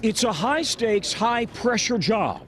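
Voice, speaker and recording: A man's voice: high-pitched, animated, slow, fairly bright tone, slight background noise. A man with an American accent delivering a scripted television news report as a correspondent.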